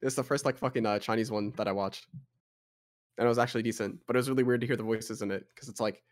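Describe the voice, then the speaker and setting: A man's voice: medium pitch, steady tone, fast talker, a little hiss or hum. A young man co-hosts a podcast in casual chat. He rambles and swears a lot. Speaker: podcast co-host.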